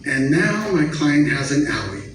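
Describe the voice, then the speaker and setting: A man's voice: a little high, very animated, a little slow, fairly bright tone. A man performing stand-up, voicing a sweet, timid, babyish attorney pleading in court with cutesy childish wording. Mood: meek, pleading. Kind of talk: stand-up comedy act-out. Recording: echoey noisy room, far from mic.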